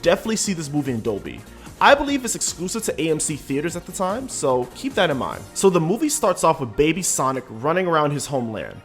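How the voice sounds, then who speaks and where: A young adult man narrating a review as a YouTube host, casual and scripted. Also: mid-range voice. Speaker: YouTube movie and game reviewer. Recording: voice over background music. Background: music.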